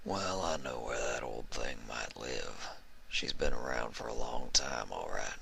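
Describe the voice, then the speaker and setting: Very gravelly, deep old outlaw stallion. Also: male voice, animated, unhurried, sharp tone, heavily acted. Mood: skeptical. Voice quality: gravelly, breathy rasp. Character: grizzled old male outlaw stallion, wry and gruff.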